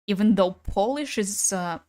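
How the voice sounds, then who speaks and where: A woman's voice: mid-range voice, unhurried, mellow, dry recording, studio quality. Chatty young woman streamer, Ukrainian native. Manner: chatty, swears casually, laughs between thoughts. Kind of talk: casual gaming stream chat.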